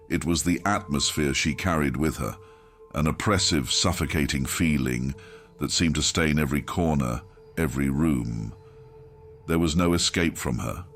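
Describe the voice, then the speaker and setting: Very deep-voiced man narrating grim horror. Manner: grave, brooding, dramatic first-person telling. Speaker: audiobook narrator. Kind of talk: scripted narration, grimdark horror audiobook.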